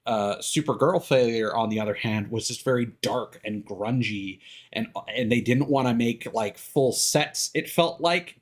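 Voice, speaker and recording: Man, mid-pitched voice, casual streamer, halting delivery, opinionated rambling. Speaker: live streamer.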